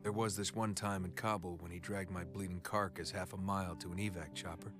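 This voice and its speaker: An actor playing a commanding, sardonic American special forces captain in a video game, gruff and plain-spoken. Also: male voice, low-pitched, fairly even intonation, brisk, over music, lightly acted. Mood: reflective, grave. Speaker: army captain.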